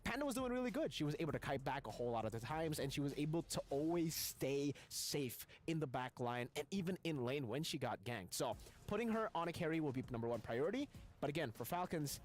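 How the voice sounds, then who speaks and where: A man commentating an esports match, excitable and quick to react. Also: fairly high pitch, soft background music. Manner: animated, excitable. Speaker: esports caster.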